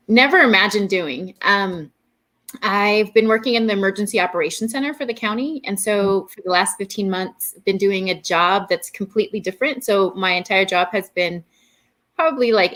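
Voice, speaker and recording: A woman's voice: mid-range voice, moderate pace. A woman in her forties, a guest poet, talking candidly and casually on a video call, now and then swearing. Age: 40s. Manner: relaxed and candid, with mild swearing.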